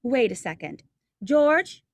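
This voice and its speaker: A woman's voice: on the high side, big pitch swings, takes it slowly, gravelly, no room sound, exaggerated voice. A woman playing a sweet, teasing young small-town woman in love, acted in 1940s radio drama style. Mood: playful. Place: indoors, recorded over a video call.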